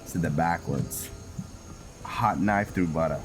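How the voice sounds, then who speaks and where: A man giving casual game commentary, musing and halting as he thinks aloud to himself. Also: low-pitched, noisy background. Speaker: gameplay host narrating a roleplay playthrough.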